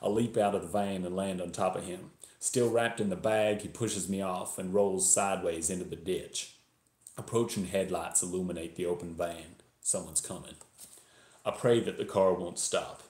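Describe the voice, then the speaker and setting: A man's voice: low voice, fairly even intonation, normal speed, darkish tone, natural voice. A man voicing a tense, hard-boiled Texan narrator of a crime thriller, with an attempted Texas accent. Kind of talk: first-person audiobook-style thriller reading. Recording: roomy echo, live video stream.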